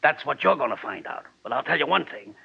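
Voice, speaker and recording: An actor playing a bossy, money-hungry American detective agency boss, gravelly and wheedling, in 1940s radio style. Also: male voice, mid-range voice, animated, brisk, heavily acted. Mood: scheming, eager. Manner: wheedling, pushy. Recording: dull, band-limited old radio recording.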